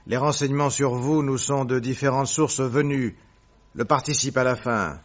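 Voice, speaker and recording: An actor playing a self-assured, courteous detective in a French radio drama, explaining and commanding firmly on a dull old recording. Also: male voice, mid-range voice, fairly even intonation, normal speed, close to an everyday voice. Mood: didactic, assured. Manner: assured, didactic, courteous but firm.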